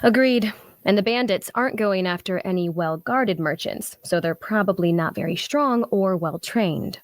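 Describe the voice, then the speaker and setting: A woman's voice: medium pitch, normal speed, no room sound, close to an everyday voice. A woman playing an astute, courteous adventurer for hire in a fantasy audio drama, speaking politely and analytically. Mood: analytical, confiding. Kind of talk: audio drama table-read dialogue.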